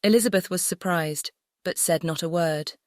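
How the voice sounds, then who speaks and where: Lowish, dry-recorded woman narrator. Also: moderate pace, no room sound. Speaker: audiobook narrator. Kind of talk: scripted literary narration.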